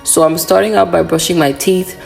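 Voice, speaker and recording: A woman narrating a voiceover for her morning routine vlog, hoarse from a cold, chatty and relaxed with frequent "um"s. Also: fairly low pitch, brisk, faint music behind, rough recording. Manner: relaxed, chatty, many "um" fillers. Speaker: vlogger.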